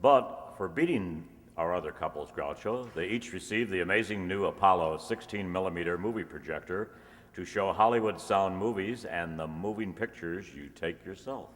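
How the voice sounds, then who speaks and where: A man playing a polished old-time radio announcer and pitchman, in a reverberant hall with an audience. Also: moderate pace, natural voice. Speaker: show announcer. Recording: echoey hall with audience noise.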